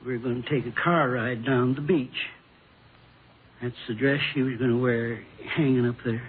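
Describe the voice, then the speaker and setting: A man's voice: mid-range voice, fairly even intonation, close to an everyday voice. Man playing a shaken, grieving old widower, tinny recording. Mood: anguished, bewildered. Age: over sixty-five. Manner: halting, polite, plain folksy speech. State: shaken with grief. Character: grieving, bewildered old widower, plain-spoken and polite. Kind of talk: 1950s radio drama acting. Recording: tinny, noisy vintage radio recording.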